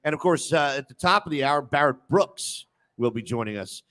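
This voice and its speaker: A man's voice: on the high side, animated, normal speed, pushed and bright. A man hosting a live sports radio show with casual, teasing banter. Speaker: sports talk radio host.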